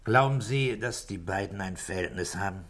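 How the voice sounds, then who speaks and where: A man playing a calm, middle-aged police inspector in a 1960s German radio drama, questioning in terse, measured phrases. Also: low-pitched. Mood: probing, measured.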